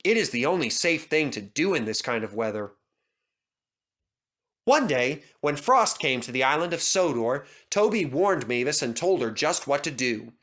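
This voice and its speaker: A man narrating a storybook in an expressive, lively way. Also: mid-range voice, moderate pace. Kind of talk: storybook reading.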